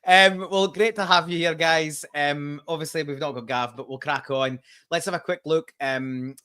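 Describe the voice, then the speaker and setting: A man's voice: on the high side, fairly even intonation, normal speed, pushed and bright, clear, resonant tone. A man in his forties hosting a fan podcast over a video call, chatty and jokey, with plenty of ums. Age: 40s. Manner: chatty, jokey, lots of fillers. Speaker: podcast host. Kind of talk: casual chat.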